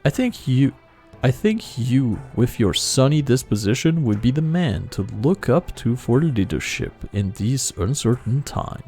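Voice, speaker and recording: Guy streaming a game, wry muttered comments, gravelly voice, bit of music behind. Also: mid-range voice, very animated, unhurried, dark tone. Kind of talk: casual game commentary and reading aloud.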